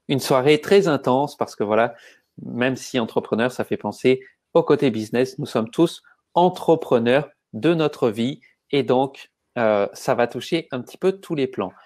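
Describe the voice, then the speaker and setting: A man hosting a live webinar, welcoming viewers and giving instructions in a measured way. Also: medium pitch, lively intonation, darkish tone. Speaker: webinar host.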